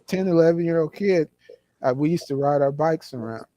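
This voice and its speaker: A man's voice: a little high, fairly quick, mellow, dry recording, highs cut off. Man, 60s, from California, rambling, lots of restarts, dry close sound. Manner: rambling, reminiscing with many restarts. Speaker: guest. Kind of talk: casual conversation.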